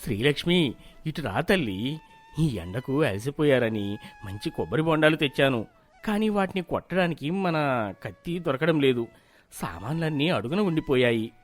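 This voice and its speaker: A man playing a weary, anxious village father in an animated moral story, gentle but grumbling. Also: fairly high pitch, moderate pace, faint music behind.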